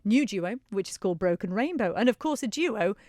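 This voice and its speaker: A woman's voice: medium pitch, lively intonation, fairly quick, darkish tone, no echo. A woman presenting a live radio show in a studio, interviewing guests with bubbly enthusiasm and a Manx accent.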